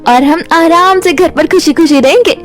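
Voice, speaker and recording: An earnest, kind-hearted young girl from a cartoon fairy tale, played by a woman, speaks in a high, emotive voice. Faint music plays behind her. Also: moderate pace. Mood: hopeful.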